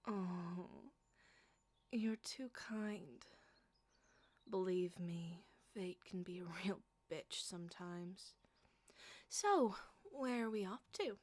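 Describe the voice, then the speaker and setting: Soft-spoken teasing woman, slow and close. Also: fairly low pitch, no echo. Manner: playful, teasing, often whispering close to the mic. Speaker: voice actress performing an audio roleplay. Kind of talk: flirty ASMR roleplay.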